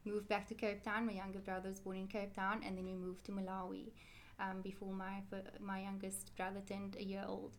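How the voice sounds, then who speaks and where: A woman's voice: mid-range voice, completely flat delivery, brisk, a little hiss or hum. A woman, answering interview questions in a chatty, rambling way and correcting herself often. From South Africa. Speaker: interview guest, Quran teacher.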